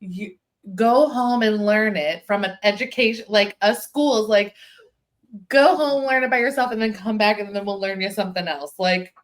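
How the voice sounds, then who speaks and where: A woman's voice: medium pitch, normal speed. A woman hosting a podcast, chatting casually and reacting informally to what she hears. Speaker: podcast host. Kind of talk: casual chat.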